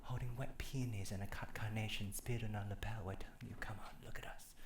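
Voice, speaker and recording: A man's voice: on the low side, fairly even intonation, brisk, a little hiss or hum. A man performing a poetic monologue live on a theatre stage before an audience. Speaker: performance artist.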